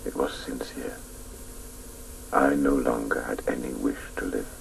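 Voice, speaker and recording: A wistful narrator looking back on boyhood in a radio drama. His voice is extremely low and heavily creaky, and he takes his time. It sounds a little distant, with clear tape noise. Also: unhurried, exaggerated voice. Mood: wistful, sorrowful. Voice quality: very deep, gravelly. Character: grown man wistfully recalling his boyhood grief. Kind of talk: reflective radio play narration.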